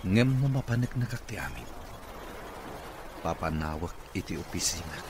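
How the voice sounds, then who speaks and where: Recovering male boss, deep voice, earnest, Ilocano accent, radio drama, noisy recording. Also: sing-song, moderate pace. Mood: serious. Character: male boss recovering as a patient, grateful, hopeful.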